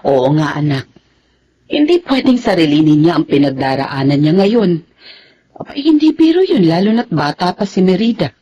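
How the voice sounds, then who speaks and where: A woman's voice: fairly low pitch, big pitch swings, moderate pace, warm tone, lo-fi sound, a little hiss or hum. An actress playing a worried, sympathetic mother in a Tagalog radio drama, lamenting with sighs.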